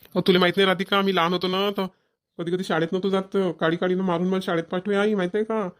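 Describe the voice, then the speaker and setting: A performer plays a grown village son and husband in a cartoon, speaking fast with a rural Maharashtrian accent. He is colloquial and insistent, caring yet a little nagging. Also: mid-range voice, little pitch movement, lightly acted. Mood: casual, grumbling. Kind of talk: Marathi family cartoon voice acting.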